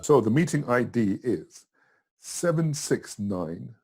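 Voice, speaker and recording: A middle-aged man giving a casual step-by-step tutorial in a chatty, simple way, with a husky voice. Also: medium pitch, animated, slow pace, darkish tone, soft background music. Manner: simple, chatty, talking himself through each step. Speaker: tutor in a tutorial video, also acting as the student.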